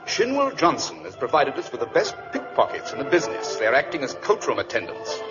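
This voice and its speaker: An actor playing a confident, urbane British detective with a precise, theatrical manner in 1940s radio drama style. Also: male voice, mid-range voice, wildly swooping pitch, fairly quick, music in the background. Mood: reassuring. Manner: precise, theatrical, self-assured. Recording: dull vintage radio recording with hiss.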